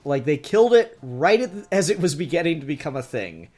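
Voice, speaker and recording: A man hosting a podcast in a casual, animated review chat. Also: a little high, a little fast. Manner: giggly, animated quote re-enacting. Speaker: podcast host.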